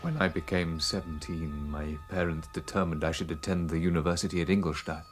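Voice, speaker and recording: A man's voice: sits low, steady tone, brisk, soft background music, close to an everyday voice. A man playing an earnest, studious young student telling his own story in a dramatised audiobook. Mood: solemn, reflective. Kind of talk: dramatised first-person narration.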